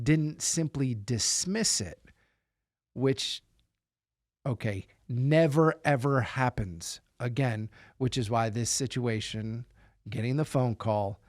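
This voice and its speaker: A man's voice: mid-range voice, darkish tone, no room sound, studio quality. A man telling a personal story in conversational podcast style, with an emphatic, incredulous manner. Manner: emphatic, incredulous, repeats words for stress. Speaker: podcast host telling his own story.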